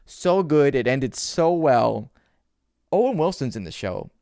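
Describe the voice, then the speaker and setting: Male podcast co-host with a stammer. Lively, swinging intonation, emphatic and informal, recorded dry. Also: mid-range voice, a little slow, gravelly, no echo. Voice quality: has a stammer. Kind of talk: casual chat.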